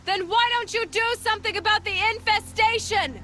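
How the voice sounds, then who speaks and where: Young female huntress, bright forceful tone, blunt, resolute, wry, game cutscene. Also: very high-pitched, a little fast. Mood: defiant. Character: determined, blunt young outcast huntress seeker.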